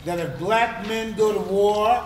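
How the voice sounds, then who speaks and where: A man with a high voice reads historical narration from a stage. The sound is roomy and distant, with audience noise around him. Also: measured pace, crowd noise. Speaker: stage narrator in readers theatre. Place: live stage performance in a hall.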